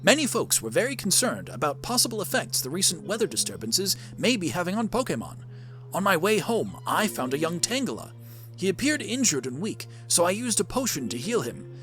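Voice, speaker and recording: A man's voice: sits high, sing-song, a little fast, fairly bright tone, faint music behind. A man voicing an older professor who narrates a monster adventure game in scripted storytelling lines. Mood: measured, storytelling.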